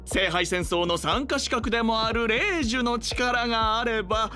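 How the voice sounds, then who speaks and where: An actress playing a polite, composed explainer in an anime game story, speaking formally and measuredly. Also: female voice, on the high side, brisk, close to an everyday voice. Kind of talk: scripted Japanese game voice acting. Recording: game audio with music behind. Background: game music.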